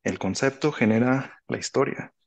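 A man in his thirties with a Mexican Spanish accent, explaining casually and reflectively, often halting and repeating phrases, on a headset in a video call. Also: mid-range voice, vocal fry, lo-fi sound. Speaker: author, interview guest. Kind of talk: casual conversational explaining with anecdotes. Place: indoor room, video call.

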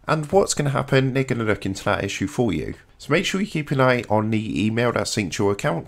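A man narrates an instructional screen tutorial in a mid-range voice. He delivers it as a host guiding the listener through each step in order. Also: medium pitch.

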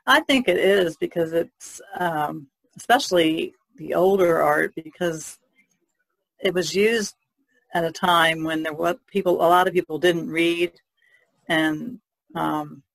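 A woman talks casually as a podcast panelist, thinking her way through in halting phrases. Her voice is creaky and recorded very dry. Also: on the low side, no room sound, poor audio. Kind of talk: casual discussion.

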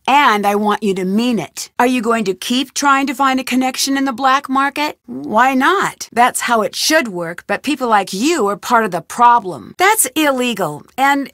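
A woman's voice: a little high, a bit bright, no room sound. An actress voicing a curious young female detective in a video game, asking direct, probing questions. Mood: firm. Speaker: game protagonist. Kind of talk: studio video game voice lines. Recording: dry studio recording.

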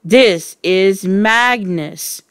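Woman voicing a slow, menacing ghost. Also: mid-range voice, fairly bright tone, clear, resonant tone, dry recording, studio quality. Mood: slow menace. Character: ghostly invisible writer, slow, menacing, commanding.

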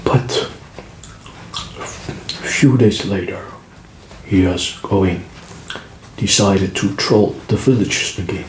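Slow whispering man, echoey room. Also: sits low. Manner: soft whispering, slow and deliberate. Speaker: ASMR storyteller. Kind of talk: ASMR storytelling. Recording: echoey room with background noise. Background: gum chewing clicks.

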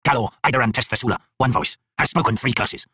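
A man voicing an arrogant, bitter tyrant High King with a raspy, theatrical, scornful delivery in an audiobook. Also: fast, sharp tone, no echo, clean studio sound. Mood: cursing, vengeful.

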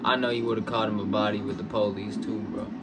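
A man's voice: little pitch movement, a little fast. A young man in a dim bedroom, giving laid-back, slangy commentary while reacting to game clips. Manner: laid-back, slangy, low-key remarks. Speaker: reaction streamer. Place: dim bedroom, seated in a gaming chair. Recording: game audio noise playing behind.

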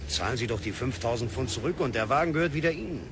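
A man's voice: medium pitch, lively intonation, fast, background noise, natural voice. A man playing an officious police sergeant in a radio drama, dry and matter-of-fact. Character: unbending colonial police sergeant, adult man.

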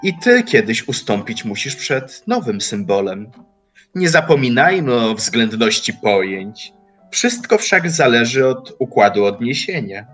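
Man acting slow, mocking old watchmaker. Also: medium pitch, very animated, a bit bright, faint music behind. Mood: calm, resigned. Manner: deliberate, formal, philosophical phrasing. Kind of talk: audio drama acting, literary dialogue.

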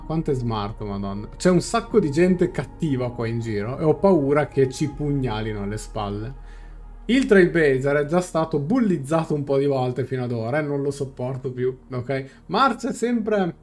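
Streamer: young Italian male, medium pitch, casual halting live translation, faint music behind. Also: darkish tone. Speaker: game streamer. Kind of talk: casual live reaction, translating game dialogue aloud. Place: small indoor room. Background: faint game music.

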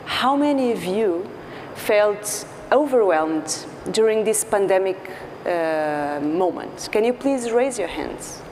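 A woman in her thirties from Portugal with a Portuguese accent, giving an earnest conference talk as a psychologist, pausing often with fillers. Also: medium pitch, expressive, a little mellow. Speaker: clinical psychologist speaker. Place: large dark stage. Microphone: lapel mic. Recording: large hall with faint noise.

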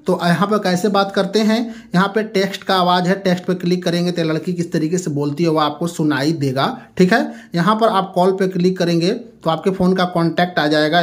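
A man hosting a tech tutorial, explaining an app step by step in a repetitive, instructional way. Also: on the high side.

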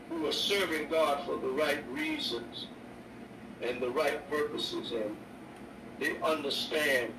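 An older man, a bishop preaching a sermon on his radio show, slow and repetitive with stammered restarts. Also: fairly high pitch. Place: indoor room. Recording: echoey distant mic with noise.

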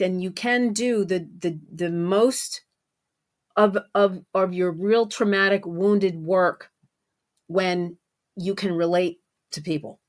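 A middle-aged woman giving an unscripted solo podcast monologue as a spiritual guide, emphatic and repeating phrases for stress. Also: medium pitch.

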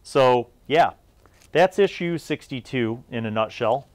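A man's voice: mid-range voice, expressive, slow pace, dry recording. A middle-aged man hosting a casual review video about old gaming material, talking in a nostalgic, conversational, slangy way. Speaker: host of a video series on old gaming content.

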